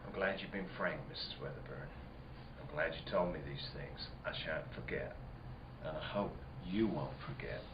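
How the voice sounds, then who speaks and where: A man's voice: very deep voice, normal speed. An actor playing a suspicious, officious country man in a radio play, with rustic dialect and an easily rattled, stammering bluster. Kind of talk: theatrical radio-play acting. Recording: dull, echoey, noisy lo-fi recording.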